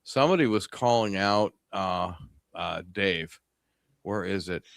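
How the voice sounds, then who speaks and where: A man's voice: deep voice, a little slow, creaky, fairly bright tone, no echo, studio quality. A man in his fifties from upstate New York, hosting a casual ham radio livestream chat and rambling with many fillers and restarts. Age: 50s. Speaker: ham radio stream host.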